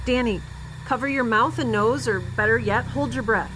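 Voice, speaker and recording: A woman's voice: on the high side, normal speed, fairly bright tone, a little hiss or hum, natural voice. A woman playing a capable but flustered young spaceship heroine in an audio drama, casual and wry. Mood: urgent.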